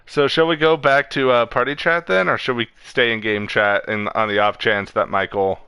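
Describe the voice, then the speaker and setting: Bright-voiced man chatting while gaming. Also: medium pitch, steady tone, normal speed, clean tone, dry recording. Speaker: video game streamer. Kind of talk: casual gaming chat with a friend over voice chat.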